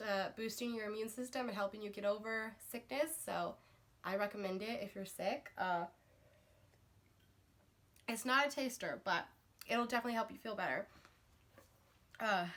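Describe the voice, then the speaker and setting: A woman's voice: on the high side, pushed and bright. A woman book vlogger getting over a cold, chatting to camera in a rambling way with lots of fillers and restarts. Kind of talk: casual chat to camera.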